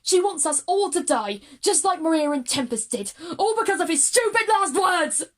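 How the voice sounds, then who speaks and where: A woman's voice: very high-pitched, sing-song, moderate pace, voice pushed up. A woman playing a hot-headed, frightened schoolchild, emphatic and agitated, in an audio drama. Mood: angry, distraught. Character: hot-headed, frightened, emotional schoolchild Ryan, accusing and loud. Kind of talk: audio drama acting for young audiences.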